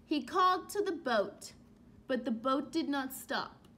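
Young teacher lady doing a read aloud for little kids, high voice, slow pace, stretches out key words. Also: expressive, a bit bright, a little hiss or hum. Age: young adult. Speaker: elementary school teacher. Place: small room at home, indoors.

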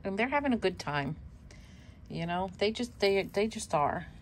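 A woman's voice: medium pitch, expressive, normal speed, a little hiss or hum. Chatty woman, Spanish her first language. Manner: chatty, repeats herself, laughs at herself. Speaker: worm composting channel host. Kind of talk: casual show-and-tell vlog. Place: indoor room at home with worm bin.

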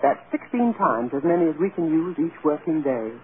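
An actor playing a matter-of-fact, discouraging casting office official in a vintage radio drama. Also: male voice, fairly high pitch, big pitch swings, brisk. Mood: businesslike, discouraging. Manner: businesslike, explanatory. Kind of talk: 1930s-40s American radio acting. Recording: tinny old radio, crowd chatter behind. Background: busy crowd noise.

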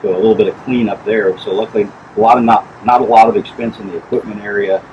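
Deep-voiced middle-aged man explaining a pool. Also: steady tone, lo-fi sound, a little hiss or hum. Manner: plain, measured, explanatory, with frequent "uh" and "um". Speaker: pool inspector. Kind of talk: on-site explanation to camera. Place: outdoors in a backyard by a swimming pool.

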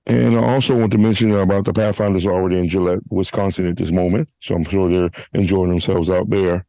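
A man hosting a church podcast, hoarse from a flu, making announcements and leading a prayer with halting restarts. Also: sits low, fairly even intonation, mellow, no room sound, highs cut off. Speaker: podcast host. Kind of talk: casual church podcast chat.

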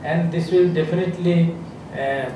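A middle-aged man with a Bangladeshi accent speaks at a podium in a conference room, his slightly high voice thick with echo. He hesitates often and fills pauses with uh as he feels his way through his points. The mic sits well away from him and steady noise runs beneath. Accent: Bangladeshi English. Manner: hesitant, many uh fillers and restarts. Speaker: government health official speaking on a panel. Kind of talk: unscripted panel remarks. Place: conference room with a seated audience. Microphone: podium microphone.

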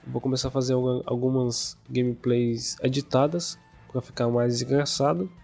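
A hoarse young man with a cold, casually talking through gameplay as a gaming youtuber. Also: mid-range voice, normal speed. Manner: casual, relaxed chatty narration. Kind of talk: gameplay commentary. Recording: voice over game music.

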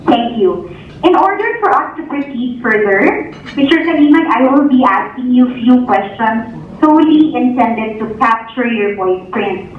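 A woman's voice: fairly high pitch, lively intonation, measured pace, distant mic, a little hiss or hum. A woman bank phone agent reading polite scripted questions. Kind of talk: scripted phone service talk. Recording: phone voice over speaker, echoey hall, muffled.

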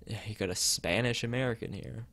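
A man's voice: fairly low pitch, a little fast, fairly bright tone, dry recording. A man streaming a visual novel, reading it aloud and often tripping over words and correcting himself. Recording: music behind the voice.

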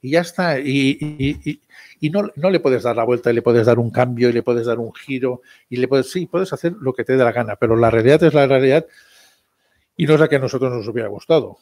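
A man's voice: mid-range voice, fairly quick, darkish tone. An emphatic man in his fifties from Barcelona, talking about his own history book. He speaks into a table microphone in a library hall and often repeats words as he presses a point. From Barcelona, Spain. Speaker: book author. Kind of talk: book presentation talk.

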